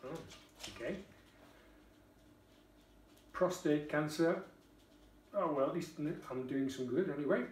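A wry, talkative Geordie man in his fifties, reviewing a beer at his kitchen table. His voice sits a little high for a man and is caught by a camera across the room, so it sounds distant and echoey. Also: slow, long pauses, a little mellow. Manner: chatty, wry, swears casually. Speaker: beer vlogger. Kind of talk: casual beer review chat. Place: home kitchen, indoors.

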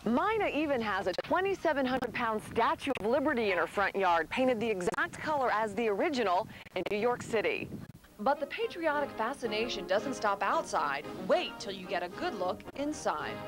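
A woman reporter narrating a local news feature in an even, measured delivery. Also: a little high, over music, rough recording.

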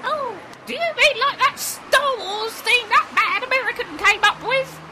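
A man's voice: a little slow, background noise. A man putting on the voice of a fussy, indignant old mother who frets over her garden. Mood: puzzled. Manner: shrill, indignant, twittering.

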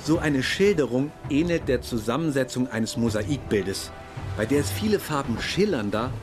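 A man's voice: medium pitch, lively intonation, normal speed, over music, natural voice. An actor playing a composed German imperial naval officer, recounting his memories formally in a documentary radio drama. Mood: composed, reflective.

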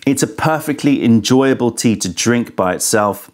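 A man's voice: medium pitch, animated, normal speed. Man candidly reviewing tea on camera. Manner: thoughtful, candid, mildly critical, tasting as he talks. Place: indoor studio set with sofa. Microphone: lapel mic.

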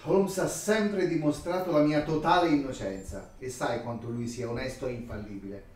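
A man's voice: medium pitch, steady tone, moderate pace, darkish tone, lightly acted. A man playing an affable old doctor. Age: older. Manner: courteous, given to chuckling. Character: older doctor, affable and flattered husband. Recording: roomy echo.

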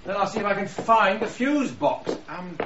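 Casting: genial older English military gentleman, hesitant and polite, lilting range, radio play with slightly dull sound. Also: sits high, expressive, normal speed, background noise. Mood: affable. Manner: polite, hesitant, drily understated. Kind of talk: radio drama acting.

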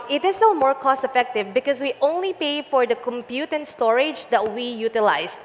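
A woman in her thirties explaining a data project in a measured way, using a headset mic on a conference stage. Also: fairly high pitch, big-room sound. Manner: measured, explanatory, some fillers. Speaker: guest, data engineering lead. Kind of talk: onstage tech interview. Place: large conference stage before an audience.

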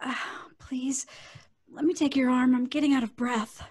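A woman's voice: sits high, steady tone, moderate pace, a little mellow, husky. A woman playing a timid, anxious and naive young woman with a hesitant, girlish manner in an old-time radio drama.

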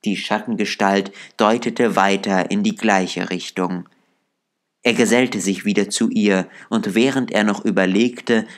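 A man reading an audiobook aloud in calm, measured storytelling narration. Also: on the low side. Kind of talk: scripted narration.

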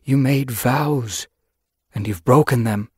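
Give me a man playing a wise old she-cat healer from a storybook, stern yet caring, a bit husky and raised in pitch. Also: darkish tone, dry recording, clean studio sound. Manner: measured, admonishing.

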